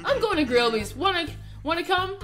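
A woman's voice: extremely high pitch, big pitch swings, brisk, fairly bright tone, soft background music, heavily acted. A woman voicing a laid-back, lazy male skeleton from a game.

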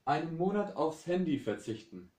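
Distant, mellow man reading prompts. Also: on the high side, big pitch swings, moderate pace. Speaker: off-camera question reader. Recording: echoey room, far from mic.

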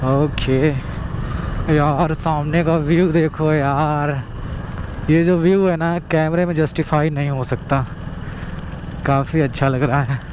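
Young guy chatting excitedly on a bike ride, voice a bit high, road noise all around. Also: slow, long pauses. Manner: excited, chatty, talks to viewers. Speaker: travel vlogger. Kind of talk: casual running commentary while riding. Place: outdoors on a road, riding a motorbike. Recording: road and traffic noise behind.

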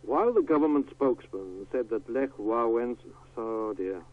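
A man's voice: medium pitch, lively intonation, moderate pace. A man reading the news as a radio newsreader. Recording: tinny narrowband radio broadcast.

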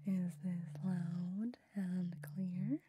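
A woman doing a gentle, reassuring ASMR roleplay as an ear examiner, mostly in a breathy whisper with short pauses. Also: lowish voice, fairly even intonation, slight background noise.

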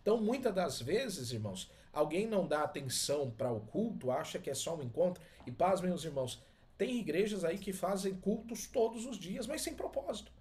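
A man's voice: high voice, big pitch swings, brisk, a little mellow. A middle-aged man with a Brazilian accent, teaching a Bible lesson in an emphatic, didactic way that repeats key words. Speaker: Sunday school teacher and pastor. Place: small indoor study with bookshelves.

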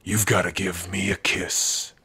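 A man playing a big, gruff orc with a rough, husky voice, teasing yet secretly soft-hearted, in an ASMR roleplay. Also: sits low, little pitch movement, unhurried, no room sound, full character voice. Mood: gruff, wry. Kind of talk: ASMR roleplay addressed to the listener.

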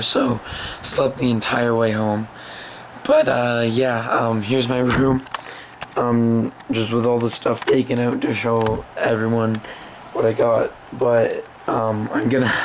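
A hoarse young man who has lost his voice, rambling casually to a camera held at arm's length in a small bedroom, with lots of ums and restarts. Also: mid-range voice, a little hiss or hum, rough recording. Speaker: vlogger. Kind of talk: casual vlog talk to camera.

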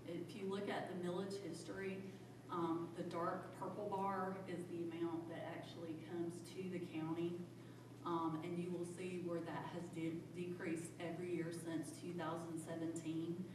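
A woman's voice: lowish voice, level tone, moderate pace, noisy background. A woman, a county finance director, walks through tax figures at a public hearing in a plain, methodical way, with frequent "um" fillers. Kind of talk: budget presentation at public hearing. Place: county commission meeting room. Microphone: room recording from far off. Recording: echoey hall recorded from a distance.

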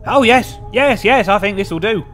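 Man as indignant game sidekick, a talking skull: high, exclamatory, sarcastic. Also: animated, moderate pace, fairly bright tone, faint music behind. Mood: eager. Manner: exclamatory, needling, exasperated.

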